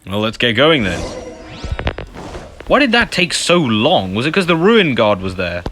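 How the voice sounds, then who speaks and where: A man's voice: medium pitch, big pitch swings, measured pace, a bit bright. A man doing casual gameplay commentary, muttering to himself with exasperated asides. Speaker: gamer commentating a playthrough. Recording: game music behind the voice.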